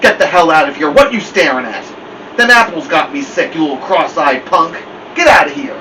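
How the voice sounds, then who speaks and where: A man's voice: fairly high pitch, lively intonation, a little fast, close to an everyday voice. A man voicing a tough, mean teenage older brother who bosses and taunts, in a storybook reading. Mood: angry, upset. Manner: gruff, commanding, taunting. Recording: dull, distant mic with loud hum.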